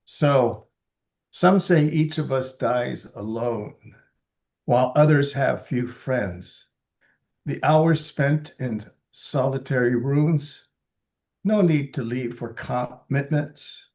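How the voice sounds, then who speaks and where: A man in his seventies from Los Angeles reads his own poems slowly and deliberately, with halting, chatty asides between them. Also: medium pitch, a little mellow, lo-fi sound. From Los Angeles, USA. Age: 70s. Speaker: poet reading his libretto. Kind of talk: poetry reading with casual asides.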